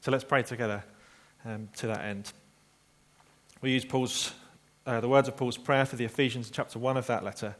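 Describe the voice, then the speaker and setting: A man preaching a sermon, telling a story earnestly and slowing down quietly for emphasis. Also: medium pitch, steady tone.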